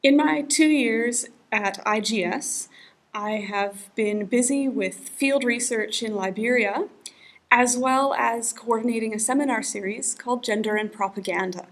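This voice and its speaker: A woman's voice: on the high side, a little slow. A woman narrating a prepared account of her work as a field researcher. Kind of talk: scripted personal narration.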